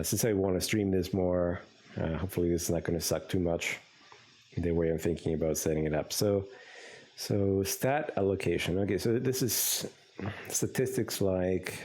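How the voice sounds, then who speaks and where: A man's voice: low voice, a little mellow. A man with a Greek accent streaming a game, thinking aloud in a loose, rambling way with plenty of fillers. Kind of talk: casual live-stream commentary.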